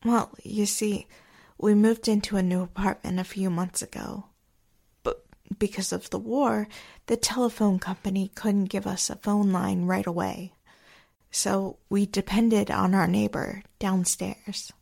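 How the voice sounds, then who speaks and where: A woman playing a loyal, earnest wife in a 1940s radio drama, explaining politely in a creaky voice. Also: medium pitch, fairly even intonation, measured pace, darkish tone. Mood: earnest, helpful.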